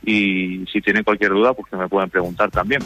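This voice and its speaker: A man's voice: low-pitched, flat delivery, fairly quick, sharp tone, soft background music. A man from Spain with a Castilian accent, chatting casually as an interview guest and often ending phrases with a questioning tag. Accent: Spanish from Spain. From Zamora, Spain. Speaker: traveller guest, secondary school teacher. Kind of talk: casual radio interview, telling travel anecdotes. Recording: roomy, slightly dull sound.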